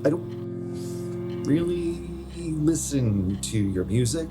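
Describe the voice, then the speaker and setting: Man acting young American guy, weary, resigned, blunt, measured, mid-pitched, radio drama, music underneath. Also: very animated. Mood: resigned, guarded. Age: young adult. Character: resigned 27-year-old male hometown friend, weary and bluntly honest. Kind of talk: naturalistic radio play acting.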